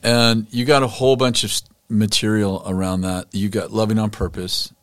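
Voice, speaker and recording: Enthusiastic low-voiced male podcast host. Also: level tone, normal speed, a bit bright, no echo, clean studio sound. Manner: enthusiastic, encouraging. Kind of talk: conversational interview.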